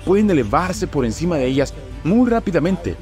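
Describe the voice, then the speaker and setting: An earnest man delivers a motivational speech with a fairly high, animated voice that rises and falls. His tone stays emphatic and preaching throughout. Soft music plays faintly behind him. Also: fairly quick. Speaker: motivational speaker. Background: soft background music.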